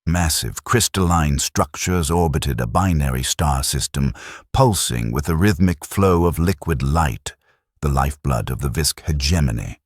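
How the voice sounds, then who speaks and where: Very deep dramatic male narrator. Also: animated, measured pace, dry recording, clean studio sound.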